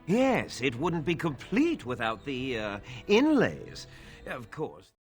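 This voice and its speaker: A weary fantasy game merchant. He drawls very slowly, his pitch swinging extremely widely, dry and hesitant as he discourages custom, over faint music. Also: huge pitch swings. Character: weary male shopkeeper, dryly eager to be rid of customers. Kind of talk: video game character dialogue.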